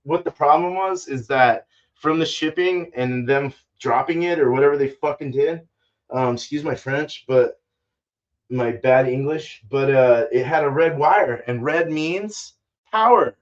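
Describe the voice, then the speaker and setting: A man's voice: a little high, unhurried. Older man, dull lo-fi livestream sound. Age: older, fifties or sixties. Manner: laid-back, salty-mouthed, says dude and man often. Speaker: livestream host, homebrewer. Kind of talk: casual rambling livestream chat. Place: small indoor home brewing room.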